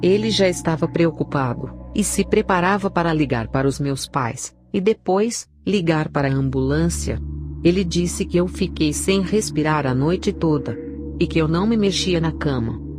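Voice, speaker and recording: A woman giving a scripted narration of a religious testimony in a solemn, measured way. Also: low voice, expressive, a little mellow. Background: background music.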